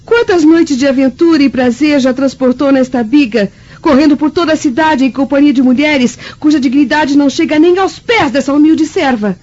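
Woman as high-voiced, proud young Roman noblewoman. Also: moderate pace, few pauses, darkish tone, highs cut off, lightly acted. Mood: indignant. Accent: Brazilian. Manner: assertive, eloquent. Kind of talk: radio drama acting.

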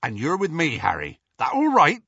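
A man voicing a huge, gentle half-giant man with rustic, folksy speech, on a slightly dull recording. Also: fairly high pitch, wildly swooping pitch, normal speed, gravelly, husky, no room sound, pitched above the performer's own voice, exaggerated voice. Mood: eager homely.

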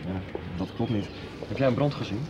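A man's voice: lowish voice, a little fast, dull audio, close to an everyday voice. An actor playing a sober, matter-of-fact man on a search in a radio drama. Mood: sober, cautious. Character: sober male companion on an amateur search. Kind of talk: 1990s radio drama acting. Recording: clearly noisy background ambience.

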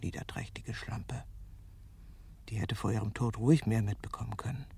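An actress playing a bitter, lovesick middle-aged woman who has turned killer, speaking in a deliberate, sardonic, brooding way in a radio drama. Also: very deep voice, fairly even intonation, creaky, warm tone, whispered. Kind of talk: radio drama acting with intimate inner monologue.

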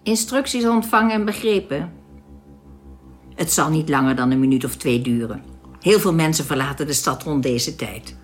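A young woman from a perfected alien race in a science-fiction radio play. She is proud and composed, explaining things matter-of-factly. Her voice sits a little low and moves in a lively way, with faint music behind it. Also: normal speed. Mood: calm, courteous.

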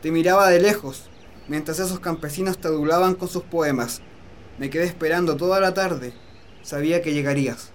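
Guy playing humble peasant lad in a radio romance, high voice, earnest, some noise behind him. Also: fairly quick, lightly acted. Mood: tender. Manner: earnest, poetic. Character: humble, lovelorn young peasant farmhand, resigned and tender. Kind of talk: romantic radio drama acting.

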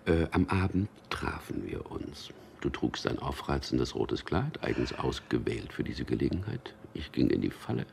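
Very deep-voiced, wry lover. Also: male voice, sing-song, moderate pace, vocal fry, few pauses, darkish tone, a little hiss or hum, heavily acted. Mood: teasing, wry. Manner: dry irony, intimate and conversational. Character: Peter, wry cynical man telling mock news to lover. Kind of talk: German radio drama acting.

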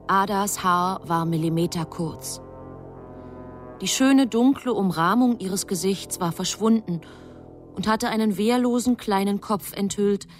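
Actress as lowish female narrator over music. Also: natural voice. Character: composed female narrator of a literary tale. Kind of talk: scripted literary narration.

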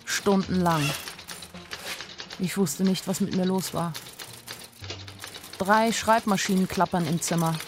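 A woman's voice: fairly low pitch, steady tone, slow, pauses often, background noise. An actress playing a naive young woman who tells her story candidly and wryly in a radio play. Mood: wry narrating. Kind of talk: radio play first-person narration.

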